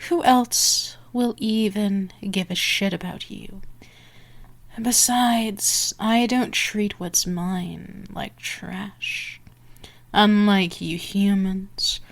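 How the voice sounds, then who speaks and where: A woman's voice: medium pitch, fairly even intonation, very slow, vocal fry. A woman playing a dominant female vampire for an ASMR roleplay, teasing, condescending and menacing, moving between murmurs and whispers. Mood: coldly possessive.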